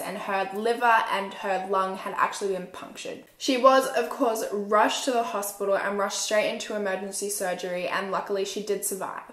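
A woman's voice: medium pitch, fairly bright tone. Young woman in a roomy space. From Australia. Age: 20s. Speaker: host, true crime storyteller. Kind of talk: conversational true crime narration. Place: small furnished room indoors. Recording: roomy indoor echo.

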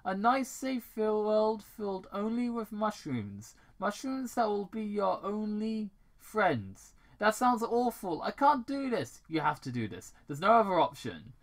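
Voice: medium pitch, big pitch swings, unhurried, few pauses, voice pushed up. An amateur British reader voicing an anxious young male hedgehog talking to himself in a fan fiction. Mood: anxious, self-soothing.

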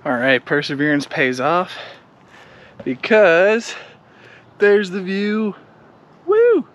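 A man's voice: high voice, sing-song, takes it slowly, a bit bright. A man in his thirties narrating a casual travel vlog, upbeat and chatty, filming himself at arm's length outdoors. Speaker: travel vlogger. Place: outdoors on a hiking trail and at breweries. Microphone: handheld camera at arm's length. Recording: noisy outdoor ambience.

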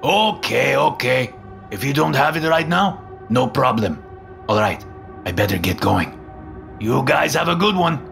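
Man putting on a pushy, jovial foreign-accented landlord, pitched up. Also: lively intonation, measured pace, gravelly, a bit bright, faint music behind, full character voice. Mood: chummy, pushy. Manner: jovial, intrusive, broken phrasing. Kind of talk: storytelling character voice.

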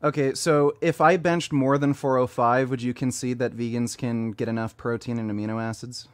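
A man in his early thirties is debating over a webcam video call, with a pitch right in the middle for a man. He argues hard and turns sarcastic, pushing back at every point he hears. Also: steady tone, normal speed, clean tone. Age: 30s. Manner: argumentative, sarcastic. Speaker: online debater. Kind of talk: heated online debate.

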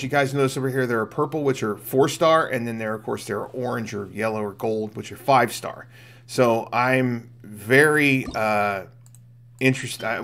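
A middle-aged man streams a game with a noticeably creaky, gravelly voice. He comments casually, thinking aloud as he goes and speaking informally to his viewers. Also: medium pitch, a bit bright. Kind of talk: casual live gameplay commentary.

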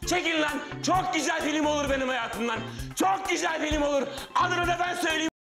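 A man's voice: mid-range voice, expressive, brisk, music in the background, natural voice. An actor in his thirties playing a hapless struggling auditionee in a sitcom, eager, cheeky and rambling with awkward politeness. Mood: anguished ranting. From Tokat, Turkey. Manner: hesitant, over-polite, rambling. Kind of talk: naturalistic sitcom acting.

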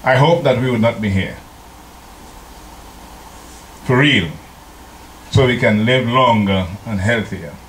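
An older man from Trinidad, a pastor preaching a slow, deliberate sermon into a handheld microphone in a church, now and then singing hymn lines. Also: medium pitch, fairly bright tone, slight background noise. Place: church sanctuary. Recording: echoey church room.

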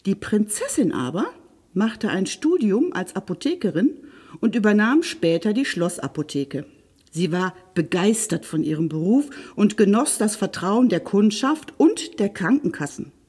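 Expressive woman storyteller. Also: medium pitch, a little slow, breathy edge. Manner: playful, addresses the listener directly. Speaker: library storyteller and host.

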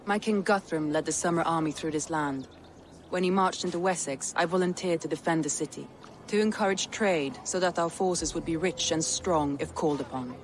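Stern female Viking chieftain, played by an actress, low measured voice, game cutscene, clear ambience behind. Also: slight background noise, lightly acted. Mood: earnest. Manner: measured, solemn delivery. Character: stern Viking clan leader woman, grave and resolute. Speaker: video game character. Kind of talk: dramatic video game cutscene acting.

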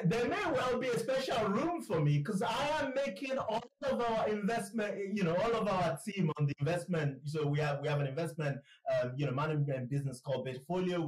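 A man in his forties hosting a podcast over a video call, enthusiastic and halting with repeated words. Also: on the high side, crowd noise. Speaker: podcast host. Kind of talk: conversational interview. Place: home room with plants, indoors. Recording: echoey room sound.

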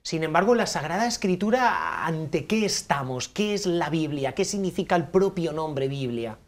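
A man's voice: a little high, expressive, moderate pace. A man in his thirties hosts a religious TV programme with a Castilian Spanish accent. His delivery is enthusiastic and conversational, like a teacher eager to share, recorded on a studio microphone. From La Mancha, Spain. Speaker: host, priest and Bible teacher. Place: dark TV studio at a table. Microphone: studio microphone on a stand.

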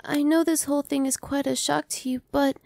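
A young woman voicing a gentle female vampire who speaks softly and slowly, sometimes whispering. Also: high voice, steady tone, vocal fry, no room sound. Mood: soothing. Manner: soft, slow and reassuring, dropping to whispers. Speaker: voice actress. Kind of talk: ASMR roleplay.

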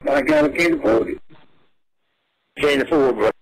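A man's voice: medium pitch, normal speed, dry recording, poor audio. A foul-mouthed, confrontational man talking crudely over the telephone. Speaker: prank caller played from a soundboard. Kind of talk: crude phone chat.

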